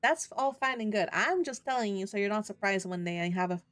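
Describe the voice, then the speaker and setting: A woman giving casual, animated, giggly and sweary commentary while playing and reading a game aloud. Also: medium pitch, a little fast, no room sound. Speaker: game streamer. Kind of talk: casual let's-play commentary with read-aloud.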